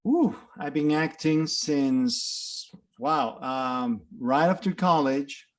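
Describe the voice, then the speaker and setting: A man's voice: on the high side, slow. Mexican accent guy, middle-aged, chatty actor telling stories, lots of restarts. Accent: Mexican Spanish. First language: Spanish. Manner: animated storyteller with frequent restarts and laughs. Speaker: guest actor. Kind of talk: casual interview chat.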